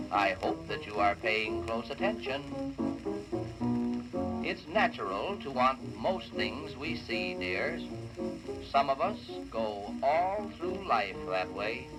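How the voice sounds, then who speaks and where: A man's voice: mid-range voice, rough recording. A man singing an instructive etiquette song for children in avuncular old-radio style, on a vintage recording. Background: musical accompaniment.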